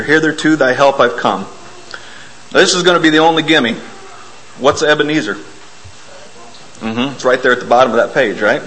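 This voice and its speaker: A man preaching an informal, self-deprecating sermon in a church, hoarse from a bug and often clearing his throat. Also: a little high, fairly bright tone, rough recording. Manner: folksy, self-deprecating, explains hymn words. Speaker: lay preacher.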